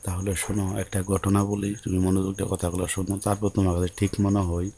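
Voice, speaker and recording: A man's voice: little pitch movement, rapid-fire, dark tone. A man playing a dutiful young bridegroom in an audio story, soothing his new bride in a subdued, hesitant way with a Bangladeshi accent. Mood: earnest, cautionary. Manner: low, subdued, hesitant, placating. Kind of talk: Bengali audio story acted as a phone conversation.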